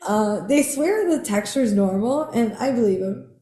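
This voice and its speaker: A young woman hosts a podcast in relaxed chat, giggling often and filling her talk with little "like"s. Her voice is mellow and soft-edged, and the room around her rings with a roomy echo. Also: on the high side, normal speed, a little hiss or hum. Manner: giggly, chatty, lots of "like". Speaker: podcast host. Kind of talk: casual chat. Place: in person, indoors.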